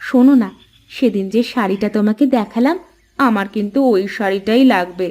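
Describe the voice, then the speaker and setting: A woman's voice: medium pitch, brisk, darkish tone, close to an everyday voice. A woman voicing a sulky, nagging young village housewife in a cartoon, wheedling and complaining. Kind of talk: cartoon voice acting in Bengali family story.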